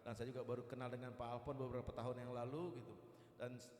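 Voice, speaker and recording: A man's voice: mid-range voice, little pitch movement, quick pace, darkish tone. A man, a guest evangelist speaking to a church in a chatty, jocular sermon style. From East Kalimantan, Indonesia. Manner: chatty and jocular, with repeated "gitu" tags. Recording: echoey hall, long reverb.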